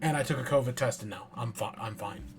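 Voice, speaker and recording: A man streaming a game and chatting casually, with a stuffy nose and a raspy throat from a cold, talking in a rambling, offhand, self-mocking way. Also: medium pitch, quick pace, soft background music. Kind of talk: casual chat while playing a game.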